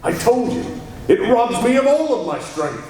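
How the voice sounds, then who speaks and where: A man's voice: very high-pitched, sing-song, normal speed, darkish tone. Man acting an anxious, stammering radio-drama hero, echoey hall. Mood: troubled. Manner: stammering, agitated. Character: anxious, evasive reporter hiding a secret hero identity. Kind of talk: 1940s radio serial acting performed live. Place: large live convention hall. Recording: echoey hall, distant mic, faint noise.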